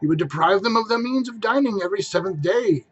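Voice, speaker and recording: A man's voice: sits high, animated, fast, husky, lo-fi sound, faint music behind, voice pushed up. A man voicing a humbled old man in a storybook reading, earnest and questioning. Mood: earnest, challenging.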